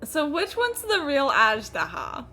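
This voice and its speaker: A woman chatting casually and swearing freely as she livestreams a video game, with an animated manner. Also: high-pitched, unhurried, faint music behind. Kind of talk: casual gaming stream commentary.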